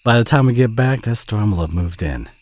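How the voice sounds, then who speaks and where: A man with a Southern US accent playing a kind, protective, bashful country boy in an audio roleplay, speaking in a gentle, folksy, hesitant way with a husky voice. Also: on the low side, lively intonation, a little fast. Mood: concerned, reassuring. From southern United States. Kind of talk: audio roleplay acting, addressed to the listener.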